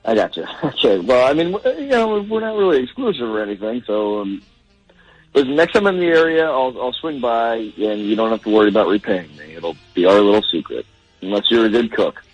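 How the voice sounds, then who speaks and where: Husky, hesitant man with lively intonation. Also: medium pitch, a little fast. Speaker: call recipient. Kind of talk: casual phone conversation. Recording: phone call with faint music bed.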